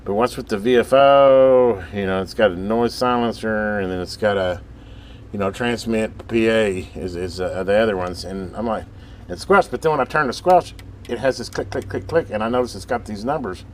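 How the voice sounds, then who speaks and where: A man's voice: lowish voice, normal speed. A man giving a casual, unscripted hands-on demonstration as a video host, rambling in a folksy, enthusiastic way. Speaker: hobbyist video host showing a vintage radio. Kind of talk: casual unscripted show-and-tell. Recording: radio static and background noise. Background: CB radio hiss and chatter.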